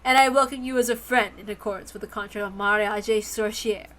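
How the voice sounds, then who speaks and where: A woman's voice: medium pitch, steady tone, a little fast, a bit bright, close to an everyday voice, rough recording. A woman voicing a proud, theatrical witch in a visual novel read-aloud, speaking in a ceremonious, declamatory way. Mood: solemn, proclaiming.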